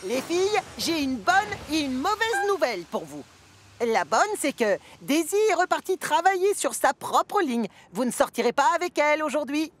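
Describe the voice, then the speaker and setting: High, earnest little cartoon locomotive. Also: female voice, sing-song, normal speed. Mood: apologetic. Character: earnest, loyal, young-sounding talking steam locomotive, male. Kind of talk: French children's cartoon dub. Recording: noisy railway ambience behind.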